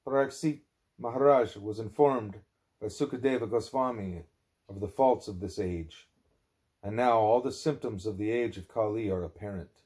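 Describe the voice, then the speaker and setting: A man reading a spiritual discourse aloud as an audiobook narrator, with deliberate, measured phrasing. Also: lowish voice, darkish tone. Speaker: audiobook reader.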